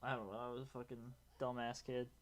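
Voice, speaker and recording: A young man with a calm, relaxing voice, talking casually while he streams a game. He rambles, trails off and swears freely, at an ordinary middle pitch for a man. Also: a little fast, slight background noise. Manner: rambling, loose, swears often. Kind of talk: casual gaming stream chat.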